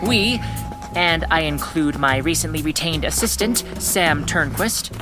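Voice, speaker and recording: Self-important kid detective, very animated. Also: female voice, sits low, unhurried, music in the background. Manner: pompous, formal, mock-official diction. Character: earnest, self-important sixth-grade boy playing detective. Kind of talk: scripted audio drama acting and narration.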